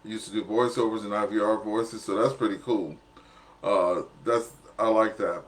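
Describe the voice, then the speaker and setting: A man's voice: lowish voice, fairly even intonation, normal speed. A man, chatty, jovial and self-deprecating, hosting a casual amateur radio net. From southern United States.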